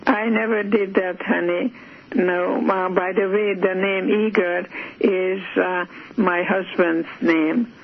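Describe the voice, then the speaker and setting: Older woman, hungarian is her first language, slow and gravelly, sounds like she's on a tinny phone line. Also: medium pitch, fairly even intonation, a little hiss or hum. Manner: slow, hesitant, with many fillers and restarts. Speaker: guest. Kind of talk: phone interview.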